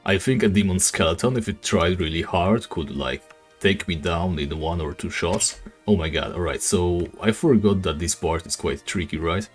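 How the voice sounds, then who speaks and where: Deep raspy guy streaming a game, english not his first language, laid back, lots of uhs, faint music behind. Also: moderate pace. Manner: relaxed, rambling, many fillers. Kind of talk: casual live-stream chat while gaming.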